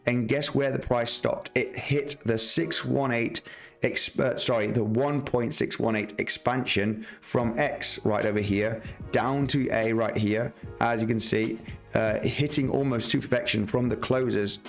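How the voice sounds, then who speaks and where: A man hosting a live market analysis stream, talking loosely through charts with many fillers and restarts, his voice a little rough today. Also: medium pitch, fairly even intonation, a little slow, soft background music. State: slightly rough voice. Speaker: market analysis livestream host. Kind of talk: live trading chart commentary.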